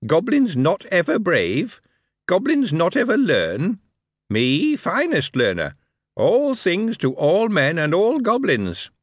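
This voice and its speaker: Goblin, male, small and sardonic, mangled grammar, voiced by a male narrator; pitched up, very lively swings. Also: slow, no echo, clean studio sound, heavily acted. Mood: mocking. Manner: broken grammar, sardonic and lofty. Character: sly mocking male goblin, small, broken speech.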